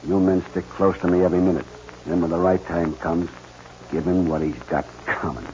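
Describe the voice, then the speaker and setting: An actor playing a ruthless, domineering land baron and gang boss in an old-time radio western, folksy and threatening. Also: male voice, deep voice, little pitch movement, brisk, a little mellow, close to an everyday voice. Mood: low, scheming. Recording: muffled, noisy vintage radio recording.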